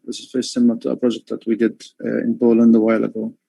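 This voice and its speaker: Hesitant gravelly man from Egypt. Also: mid-range voice, completely flat delivery, very fast, warm tone, dry recording, low-quality recording. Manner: hesitant, many fillers and restarts. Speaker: artist. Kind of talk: livestream talk. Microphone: headphones on a call.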